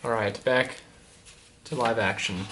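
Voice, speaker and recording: A man, a priest, reading a saint's storybook aloud to children in a measured way with casual asides. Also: fairly low pitch, steady tone, noisy background.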